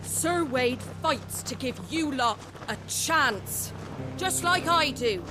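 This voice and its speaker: An actress playing a fierce, earnest young woman rallying villagers with deliberate, impassioned delivery. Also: high voice, lively intonation. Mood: impassioned, urging. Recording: loud music under the voice.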